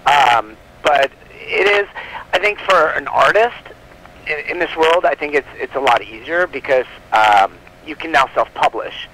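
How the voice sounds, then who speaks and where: A man's voice: fairly high pitch, animated, unhurried, sharp tone, poor audio. A man talking at length in a casual radio interview as a guest, in a rambling, chatty way. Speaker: guest, comic book publisher.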